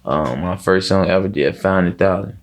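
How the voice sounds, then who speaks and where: A young man with a Chicago accent speaks in a deep register with a creaky fry. He talks casually as an interview guest, circling through his points in slangy, sweary phrases. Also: normal speed. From Chicago, USA. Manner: rambling, heavy slang and swearing. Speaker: rapper being interviewed.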